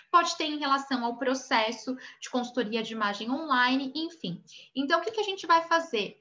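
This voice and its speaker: A woman's voice: sits high, bright, forceful tone, lo-fi sound. A Brazilian woman teaching an online class on image consulting, chatty and full of little check-ins like ok. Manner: chatty, many tag questions like ok and né.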